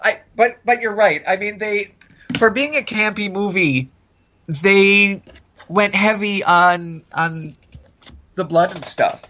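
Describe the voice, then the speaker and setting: On slightly dull, lo-fi audio, a man with a high voice chats as a podcast co-host. His delivery is conversational and a bit tentative, circling back over phrases in a casual review. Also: slow pace, sharp tone, slight background noise. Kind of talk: casual film review chat.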